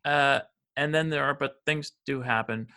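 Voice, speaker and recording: A man's voice: medium pitch, fairly even intonation, moderate pace, bright, forceful tone. An American man in his fifties, a financial advisor talking as a podcast guest, rambling with many restarts and fillers. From United States. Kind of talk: casual interview conversation.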